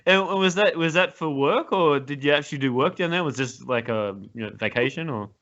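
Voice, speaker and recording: A man's voice: a little high, a little fast, a bit bright. A man in his thirties with an Australian accent, casually interviewing a guest with agreeable, hesitant phrasing. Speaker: podcast host, interviewer. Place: home room with wooden cabinet. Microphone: large desktop microphone up close. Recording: slightly dull call audio.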